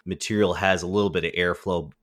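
A man's voice: low voice, steady tone, quick pace, fairly bright tone, no room sound, studio quality. A man co-hosting a podcast, agreeable and laid-back as he chats about shop practice. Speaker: podcast co-host. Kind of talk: casual podcast chat.

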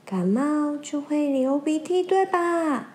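A woman's voice: high-pitched, lively intonation, darkish tone, pitched above the performer's own voice. A woman doing a sly, gloating lantern fish villain. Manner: slow, drawn-out, gloating.

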